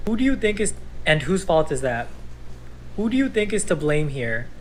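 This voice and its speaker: A man's voice: on the high side, sing-song, moderate pace, low-quality recording. An American man delivers a pointed monologue in a confrontational way. He leans hard on emphatic rhetorical questions. The clip carries a faint layer of noise. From United States. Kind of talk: argumentative monologue to camera.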